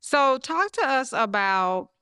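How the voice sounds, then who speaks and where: An enthusiastic woman hosts a podcast and asks her questions in a lively, upbeat way. Her voice is bright and smooth, recorded dry and close. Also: high voice, takes it slowly, no echo. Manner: enthusiastic, upbeat, says "like" often. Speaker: podcast host. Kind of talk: casual interview.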